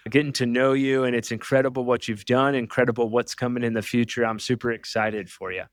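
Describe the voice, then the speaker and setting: A man's voice: mid-range voice, steady tone, brisk, no echo. A man hosting a podcast interview, talking in an eager, chatty way with lots of praise for his guest. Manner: enthusiastic, chatty, many "awesome" and "super cool". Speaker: podcast host.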